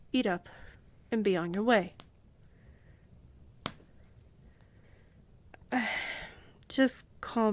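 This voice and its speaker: Woman voicing a slow-speaking, regal vampire countess. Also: medium pitch, a bit bright. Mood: stern, possessive. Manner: measured, regal, condescendingly affectionate. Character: poised, commanding young vampire countess, coolly indulgent. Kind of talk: fantasy roleplay audio drama.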